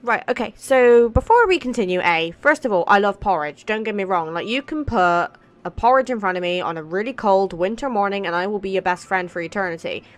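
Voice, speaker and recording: A woman's voice: medium pitch, animated, a little fast. A woman giving casual, chatty, cheeky let's play commentary. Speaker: gaming streamer.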